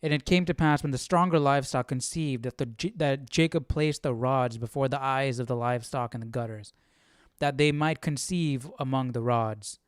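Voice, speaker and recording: A man hosting a casual live Bible study, reading aloud and thinking out loud in an informal, slangy way. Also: mid-range voice, moderate pace, clean tone, dry recording, studio quality.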